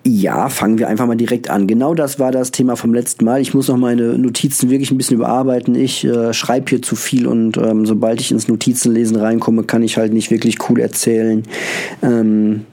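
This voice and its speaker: A man talks through his solo podcast in a loose, unscripted way, stalling on filler sounds and restarting his sentences. He has a cold, and now and then a cough breaks in. His voice sits at an ordinary pitch with a soft, mellow tone. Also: flat delivery, brisk. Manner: hesitant, many filler sounds, loose rambling. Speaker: podcast host. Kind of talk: casual solo podcast monologue.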